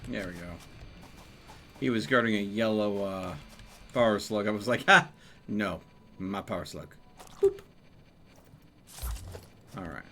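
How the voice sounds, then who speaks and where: A man's voice: lowish voice, animated, measured pace, pauses often, a bit bright, slight background noise. A man giving casual live gameplay commentary, thinking aloud with playful exclamations. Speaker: video game streamer. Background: video game music.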